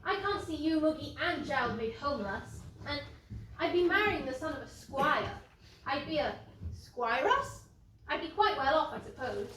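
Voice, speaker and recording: A woman's voice: sits high, animated, normal speed, fairly bright tone. A woman playing a sweet, dutiful young daughter in a pantomime, with an earnest, projected delivery. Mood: resigned. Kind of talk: British pantomime stage acting. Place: live stage performance. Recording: distant roomy stage recording with noise.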